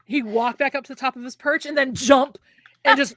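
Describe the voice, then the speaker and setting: Casting: American woman, cheerful storyteller who laughs a lot, a touch high, close and dry mic. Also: steady tone, brisk, a bit bright, no room sound. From United States. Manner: chatty storyteller, laughs often. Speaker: podcast co-host, author. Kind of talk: casual chat between friends.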